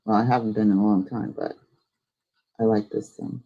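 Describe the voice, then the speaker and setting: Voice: medium pitch, fairly even intonation, normal speed, dull audio. A live stream host chatting casually and informally, thinking aloud while giving a tour of a virtual world.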